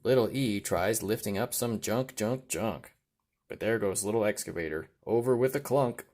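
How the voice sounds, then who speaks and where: Deep voiced guy reading a kids storybook out loud, rhythmic, leans on the sound words. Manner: rhythmic, deliberate sound-word emphasis. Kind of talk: read-aloud children's storytelling.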